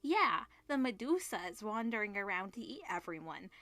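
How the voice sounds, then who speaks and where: A woman's voice: medium pitch, big pitch swings, moderate pace, a bit bright, no room sound. A woman reading the part of a blunt, cautious male classmate who scolds in a visual novel. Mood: scolding.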